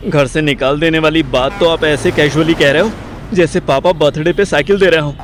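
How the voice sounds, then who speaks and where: Man acting high-pitched sarcastic young man. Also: steady tone, a little fast, crowd noise, close to an everyday voice. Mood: wry. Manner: grumbling, wisecracking. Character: reluctant, sarcastic, foul-mouthed young man called Gaurav. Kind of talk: Hindi audio drama dialogue.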